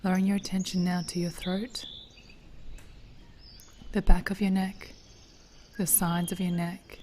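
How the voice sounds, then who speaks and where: Very slow, hushed woman guiding meditation. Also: fairly low pitch, little pitch movement, slight background noise. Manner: gentle, soothing, hushed with breathy phrase endings. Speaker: meditation guide. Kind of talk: guided meditation.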